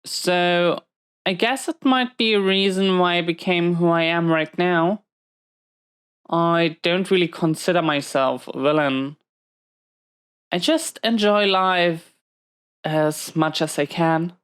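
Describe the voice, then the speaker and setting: A low, smooth-voiced female villain behind bars, acted by a woman, speaking slowly in an intimate audio roleplay. She is wounded and weary, confiding in halting, reflective phrases. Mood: reflective, matter-of-fact. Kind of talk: slow intimate audio roleplay monologue to a listener.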